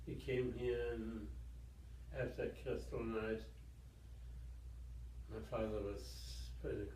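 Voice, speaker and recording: A man in his nineties from Germany, speaking haltingly in an interview about his past. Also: lowish voice, flat delivery, a little mellow, a little hiss or hum. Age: 90s. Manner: halting, with pauses and fillers. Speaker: interviewee, resident. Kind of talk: recounting life story. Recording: echoey room, mic far off, faint ambience.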